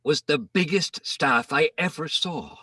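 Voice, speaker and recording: A man giving a theatrical dramatic reading of rhymed verse, with deliberate pauses, recorded in an echoing room at some distance from the microphone. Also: on the high side, bright, forceful tone, clean studio sound. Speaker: poetry reader. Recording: roomy echo, distant microphone.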